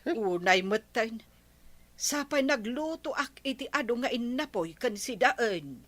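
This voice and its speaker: A woman's voice: fairly high pitch, expressive, unhurried, a bit bright. A woman playing a patient, practical village wife in an Ilocano comedy radio drama, speaking with an Ilocano accent. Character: patient village wife soothing her husband.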